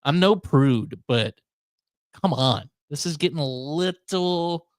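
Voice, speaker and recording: A man's voice: on the high side, expressive, slow pace, no echo. Man in dry-sounding casual chat. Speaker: podcast host. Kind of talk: casual unscripted podcast chat.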